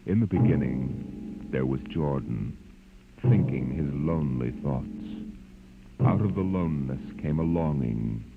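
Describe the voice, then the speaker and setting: An actor playing a solemn, portentous narrator and announcer in a 1950s radio drama. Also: male voice, extremely low pitch, animated, a little slow, heavily acted. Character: solemn, grandiose male narrator intoning a creation myth. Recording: very dull old radio recording, loud music.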